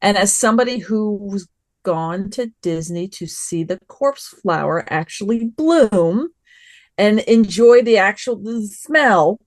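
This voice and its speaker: A woman with a mid-pitched voice talks as a podcast panelist. She chats casually and expressively, voicing little reactions as she goes. The recording is dry and close. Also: animated, takes it slowly, no echo. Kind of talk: casual review chat.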